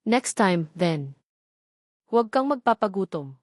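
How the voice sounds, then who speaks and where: A woman's voice: medium pitch, sing-song, unhurried, no echo, studio quality. A woman narrator voicing a kind, considerate male company boss in an audiobook drama. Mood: friendly. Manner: gentle, considerate.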